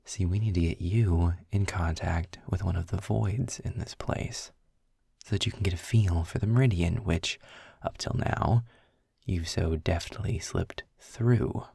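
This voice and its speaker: A man playing a snarky, weary, well-spoken demon guide in an intimate audio roleplay, with a husky, gravelly voice and a dry, wordy manner. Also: deep voice, warm tone, no echo, exaggerated voice. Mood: dryly sardonic. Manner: sarcastic, wordy, dry, deliberate. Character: snarky, weary, erudite male demon guide trapped in hell.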